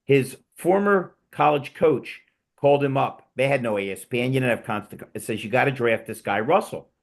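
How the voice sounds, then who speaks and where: Male host, mid-pitched, gravelly, chatty storyteller on a remote call. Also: fairly quick. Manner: rambling, enthusiastic storyteller with restarts. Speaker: podcast host. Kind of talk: casual panel chat.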